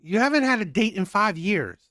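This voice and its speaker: A man's voice: sits high, expressive, normal speed, sharp tone, dry recording, studio quality. A man commenting on a video clip in a mocking tone, repeating his points and mimicking voices. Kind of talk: reaction commentary.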